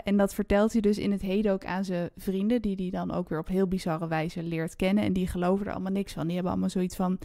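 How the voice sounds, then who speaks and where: A woman's voice: medium pitch, dark tone, no echo. Woman chatting, close dry sound. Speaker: book podcast host. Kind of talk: casual book discussion.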